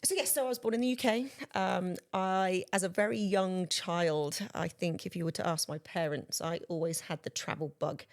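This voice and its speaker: A woman in her forties from Bristol with an English accent, a guest chatting with high energy on a podcast. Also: fairly even intonation, moderate pace, few pauses. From Bristol, England. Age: 40s. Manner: upbeat, high-energy, laughs often. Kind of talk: casual interview chat.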